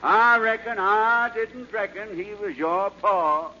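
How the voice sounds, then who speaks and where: Slow bashful man putting on a cowboy gunman. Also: high voice, pitched above the performer's own voice. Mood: bashful, apologetic. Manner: slow, folksy, deliberate. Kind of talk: hammy Western script reading in radio comedy. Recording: very noisy muffled 1940s radio broadcast.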